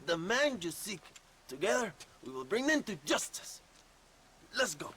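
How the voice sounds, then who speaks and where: A man playing a pompous, lecherous Mexican rebel leader in a video game, theatrical and flattering, with a Mexican accent. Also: high voice, a little slow, a little hiss or hum, heavily acted. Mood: grand, excited. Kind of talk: video game cutscene acting.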